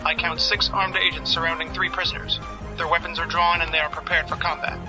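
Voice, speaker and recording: An actor playing an emotionless tactical lookout in a science fiction audio drama, formal and precise. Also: male voice, fairly high pitch, flat delivery, a little fast, over music, natural voice, low-quality recording. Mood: detached, precise.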